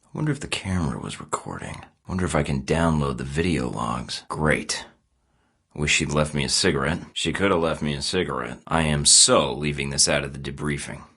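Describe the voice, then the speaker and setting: A man's voice: a bass voice, lively intonation, brisk, creaky, a bit bright, husky, full character voice. An actor playing a wry, sarcastic male spy in a video game, delivering dry quips. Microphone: studio mic.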